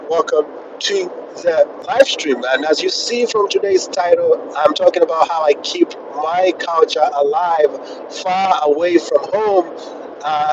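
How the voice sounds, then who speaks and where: A man from Kenya hosting a casual live stream while he rides home on the road, talking in a chatty way with lots of fillers. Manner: chatty, filler-heavy, pitch jumping up on phrases. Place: in a car on the road. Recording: dull, distant phone audio with road noise. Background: road and traffic noise.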